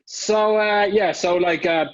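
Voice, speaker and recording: A man's voice: sits high, steady tone, takes it slowly, dry recording. Chatty Irish male guest on a muffled, dry video-call line, full of fillers. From Ireland. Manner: chatty, many fillers and restarts. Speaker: guest speaker, marketing executive. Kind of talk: casual online summit talk.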